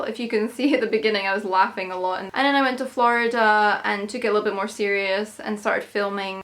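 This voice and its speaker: A young woman from Scotland with a Scottish accent, chatting casually to camera on her own channel, upbeat and quick to laugh. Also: mid-range voice, fairly bright tone. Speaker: YouTube vlogger.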